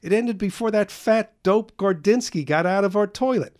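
Man doing a breathless, excitable young man; pitched well up, loud, quick bursts. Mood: excited. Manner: excited, rapid-fire exclaiming.

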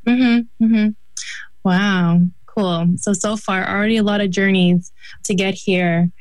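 An upbeat woman hosts a radio talk show in an encouraging, friendly way. Her voice sits at an ordinary pitch for a woman. The sound is close and dry, with almost no room around it. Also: fairly even intonation, slow, no echo, rough recording. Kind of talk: hosting a group conversation.

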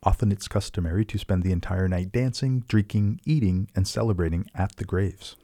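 A man's voice: deep voice, fairly quick, dark tone, no room sound, clean studio sound. A man co-hosting, reading scripted explanatory narration.

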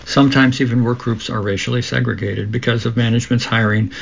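Male radio presenter, creaky mid-range voice, measured scripted read with small stumbles, slightly muffled sound. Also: mid-range voice, fairly even intonation. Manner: measured read-aloud delivery with occasional stumbles. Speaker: radio host reading a book aloud. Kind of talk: scripted reading for a labor radio show.

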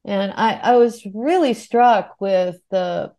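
A woman's voice: medium pitch, takes it slowly, warm tone. Articulate woman interviewer. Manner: articulate, long reflective sentences. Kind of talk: thoughtful interview questions.

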